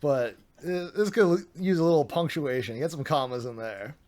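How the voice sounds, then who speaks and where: A man's voice: a little high, moderate pace, a little mellow. A man streaming, reading a document aloud with sarcastic, mocking asides, his voice getting a little worn.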